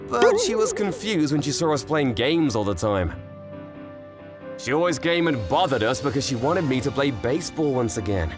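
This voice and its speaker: Casting: male actor as boyish, cocky but friendly anime high schooler, animated delivery, music bed. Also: normal speed, natural voice. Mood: reflective. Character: cocky, good-natured teenage boy, former ace baseball pitcher. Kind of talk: manga dub narration and dialogue.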